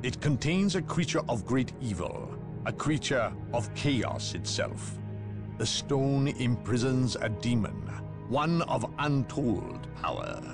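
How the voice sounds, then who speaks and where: A secretive inquisitor who weighs every word and lectures in a chill, guarded tone. The voice swings widely up and down as it presses its points. A full orchestral score plays under him. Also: male voice, mid-range voice, very animated, over music. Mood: cold. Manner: measured, guarded, coldly lecturing. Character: cold secretive male inquisitor, middle-aged, unyielding. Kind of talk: video game cutscene voice acting. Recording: voice over orchestral game score.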